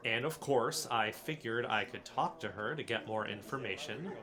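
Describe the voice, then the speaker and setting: A man's voice: medium pitch, moderate pace, pushed and bright, natural voice. A man playing an earnest, friendly, slightly defensive guy in an audio drama, speaking casually. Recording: crowd chatter behind voice.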